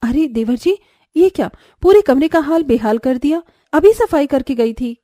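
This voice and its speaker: A woman voicing a caring, dutiful young sister-in-law in a cartoon story, gentle and helpful. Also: high-pitched, expressive, moderate pace, no room sound, studio quality. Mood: kindly. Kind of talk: Hindi cartoon story acting.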